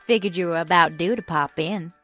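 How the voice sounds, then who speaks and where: A woman's voice: on the low side, brisk, fairly bright tone, faint music behind, close to an everyday voice. A woman playing a folksy, teasing small-town diner waitress with sassy, colloquial talk in a radio drama. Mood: teasing, friendly.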